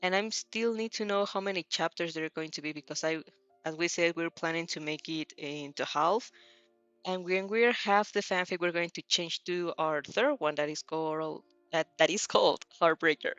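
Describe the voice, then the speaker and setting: A woman's voice: lowish voice, moderate pace, fairly bright tone, no room sound, lo-fi sound, faint music behind. A woman hosting a casual fanfiction read-aloud, hesitant and giggly, often correcting herself as she goes. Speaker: host of fanfiction reading show.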